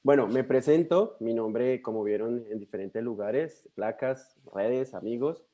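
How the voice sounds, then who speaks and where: Young Colombian man, missionary guest speaker on a video call; relaxed, mellow tone, friendly and rambling. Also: medium pitch, unhurried, highs cut off. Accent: Colombian Spanish. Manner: friendly, chatty, many fillers and restarts. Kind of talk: warm personal introduction to a church.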